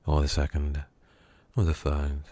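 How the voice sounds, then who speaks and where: A man playing a menacing, smug kidnapper in an ASMR roleplay, speaking slowly and teasingly and dropping into whispers. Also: a bass voice, completely flat delivery, dark tone, dry recording. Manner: slow, teasing, drifting into whispers.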